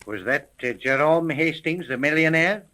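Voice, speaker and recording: An actor playing a suspicious, gruff, no-nonsense police sergeant in a 1930s radio mystery serial. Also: male voice, on the high side, animated, moderate pace, pushed and bright. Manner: gruff, skeptical, challenging. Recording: noisy 1930s radio recording.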